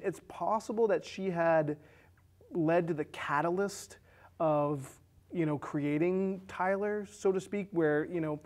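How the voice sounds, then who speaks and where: A man in his thirties with a slightly high voice chats about a film. He talks casually and haltingly, doubling back on words as he works out what he means. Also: darkish tone. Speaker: film discussion show co-host. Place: small indoor studio set with curtain.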